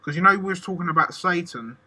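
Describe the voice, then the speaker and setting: Eager host guy, slangy and chatty, really bright sharp voice, a bit higher pitched. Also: quick pace. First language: English. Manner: enthusiastic, casual slang, lots of "like" fillers. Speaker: podcast host. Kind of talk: casual conversational interview.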